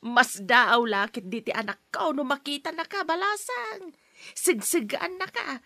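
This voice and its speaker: An actress playing a gentle older mother with an Ilocano accent and a breathy voice in a radio drama. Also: high voice, animated, a little slow, dry recording, heavily acted. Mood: warm. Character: older mother, caring and hopeful.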